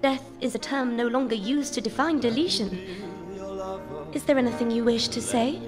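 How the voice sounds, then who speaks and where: A woman's voice: on the high side, moderate pace, natural voice. A woman playing a cold computer mother. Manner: calm, formal, clinical. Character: cold female computer network overseer. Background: music.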